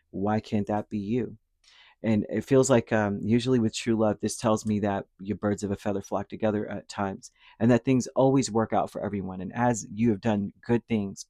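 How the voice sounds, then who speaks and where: A man giving a casual spoken tarot reading, rambling and reassuring, his throat dry and a little hoarse after a cold. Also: on the low side, a little mellow, dry recording. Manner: rambling, reassuring, with many fillers and restarts. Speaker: tarot reader.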